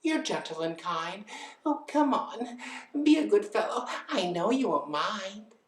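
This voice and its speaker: A woman's voice: medium pitch, lively intonation, a little slow, reverberant, pitched above the performer's own voice, natural voice. A woman voicing a lazy female bird who whines, wheedles and pleads in an exaggerated way for a children's story. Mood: coaxing.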